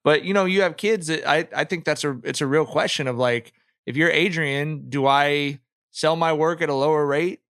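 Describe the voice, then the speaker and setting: A man hosting a podcast in a casual conversational style, informal and thinking out loud as he talks. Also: fairly high pitch, moderate pace, smooth, no echo, studio quality. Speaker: podcast host.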